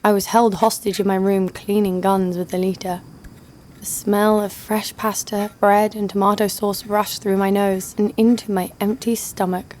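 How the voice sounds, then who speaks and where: A woman's voice: mid-range voice, flat delivery, normal speed, a little mellow, slight background noise, close to an everyday voice. A young woman playing a sarcastic, foul-mouthed bounty hunter who narrates her own story in an audio drama. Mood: wry narration. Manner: dry, sardonic. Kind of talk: first-person narration and dialogue in audio drama. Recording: music behind the voice.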